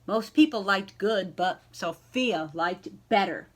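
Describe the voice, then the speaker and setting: Animated woman reading a picture book aloud. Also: medium pitch. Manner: animated, pausing to ask young listeners questions. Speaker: librarian reading aloud to children. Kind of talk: expressive children's picture-book read-aloud.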